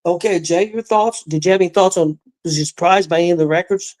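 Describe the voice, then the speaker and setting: A man in his sixties with a slightly high voice, co-hosting a relaxed sports chat. He talks haltingly, padding his thoughts with fillers. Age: 60s. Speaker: co-host. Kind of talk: casual sports talk.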